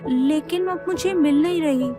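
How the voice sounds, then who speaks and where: A woman's voice: sits high, normal speed, music in the background, close to an everyday voice. A woman voicing an earnest, flustered young boy in an audio drama. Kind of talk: Hindi audio drama acting.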